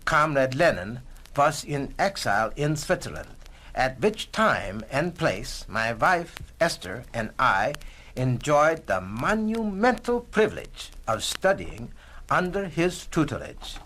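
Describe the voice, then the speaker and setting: An actor playing an elderly retired professor, a devoted Communist, speaking formally and solemnly in a dramatized 1960s style. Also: male voice, medium pitch, very animated, slow pace, bright, forceful tone, a little hiss or hum, exaggerated voice. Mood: solemn pride. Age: older.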